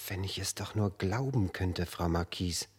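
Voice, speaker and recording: An actor playing a naive young poet in a German radio drama, polite, hesitant and stammering. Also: male voice, deep voice, normal speed, darkish tone, breathy edge. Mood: unsure, doubtful.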